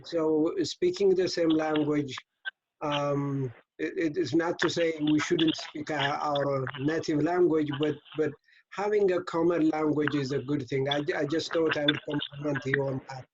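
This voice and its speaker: A man with an Ethiopian accent, a little higher in pitch than most, speaks earnestly and stops to correct himself as he goes. The call sounds muffled, and there is clear static behind him. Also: fairly even intonation. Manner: earnest, halting, self-correcting. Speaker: club member. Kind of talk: comment at a virtual meeting. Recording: dull call audio with static noise.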